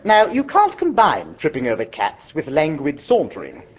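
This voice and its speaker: A man playing a breezy, flustered young gentleman who narrates his own story in a chatty, jaunty manner. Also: fairly high pitch, wildly swooping pitch, moderate pace. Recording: dull lo-fi recording.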